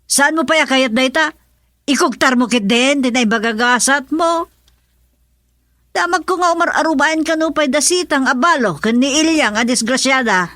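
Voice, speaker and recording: A middle-aged Ilocano mother in a radio drama. She is pressing and insistent, with a fairly high voice in a dry, close recording. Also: normal speed, sharp tone, dry recording. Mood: nagging, insistent. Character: insistent middle-aged mother pushing her daughter to marry.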